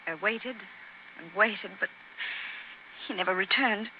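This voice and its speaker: A woman's voice: mid-range voice, unhurried, loud music behind the voice, natural voice. A woman playing an anxious, devoted young Englishwoman, emotional and pleading, in 1930s radio drama style. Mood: distressed, pleading. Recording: muffled, noisy 1930s radio recording.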